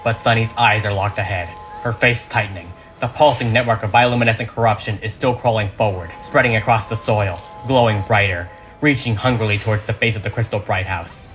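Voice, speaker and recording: A man's voice: fairly low pitch, brisk, a bit bright, soft background music. A man reading a fan audio drama script as a narrator, with emphatic, theatrical delivery.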